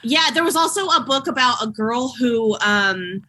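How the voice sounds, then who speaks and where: Casting: woman, late 30s, bright tone, slow dry storyteller. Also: on the high side, lively intonation, slight background noise. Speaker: podcast guest. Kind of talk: casual chat.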